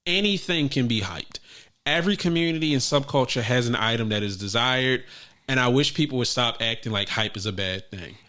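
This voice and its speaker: A man co-hosting a casual sneaker podcast, talking in a profane, animated way that jumps up loud when he jokes. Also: medium pitch, a little fast. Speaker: podcast co-host. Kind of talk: casual chat.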